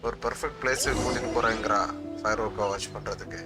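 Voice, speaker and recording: A man's voice: lowish voice, fairly even intonation, very fast. A man with a Tamil accent giving casual live gameplay commentary, mixing in English words. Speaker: game streamer. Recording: loud game music behind voice. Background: video game music.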